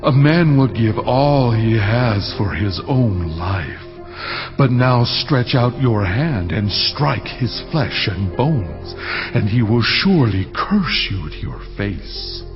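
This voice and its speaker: A man voicing Satan as a sly accuser in a dramatized scripture reading, speaking slowly and deliberately. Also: medium pitch, animated. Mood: challenging. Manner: slow, deliberate, challenging. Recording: dull lo-fi recording. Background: cinematic music.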